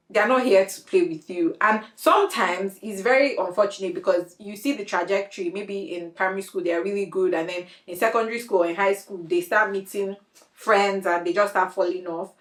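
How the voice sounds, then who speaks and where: A woman hosting a podcast interview, chatty and quick to laugh, using slang. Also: mid-range voice, echoey room. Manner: chatty, laughs often, uses Nigerian slang. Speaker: podcast host. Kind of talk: casual interview chat.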